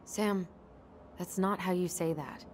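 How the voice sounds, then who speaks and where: An actress playing a composed, tactful young woman in a video game, gently firm and measured in how she talks. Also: fairly low pitch, steady tone, a little hiss or hum. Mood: exasperated, correcting. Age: young adult. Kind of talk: naturalistic video game acting.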